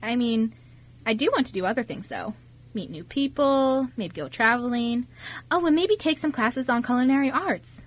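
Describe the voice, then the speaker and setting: A high, girlish voice from an actress playing a friendly teenager in a radio play. She is chatty and eager, with a bubbly, giggly way of talking to new people. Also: normal speed, close to an everyday voice. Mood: sincere. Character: bubbly, friendly fifteen-year-old girl working in family cafe. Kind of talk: amateur radio drama dialogue.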